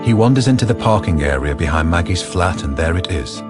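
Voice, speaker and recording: A man giving dry, measured scripted narration for a crime radio drama. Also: low voice, animated, over music.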